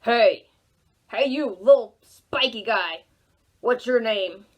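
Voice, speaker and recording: A woman voicing a big, rude, laughing rhinoceros in a loud, blurting, teasing way for a children's storybook. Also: fairly high pitch, slow pace, dull audio, voice pushed down. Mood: brash, mocking. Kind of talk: storybook read-aloud for small children.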